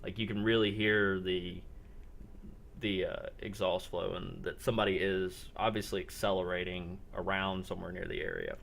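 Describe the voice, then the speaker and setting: A man running a roleplay game as game master, improvising descriptions in halting, paused phrases. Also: sits low, fairly even intonation, gravelly, fairly bright tone, slight background noise.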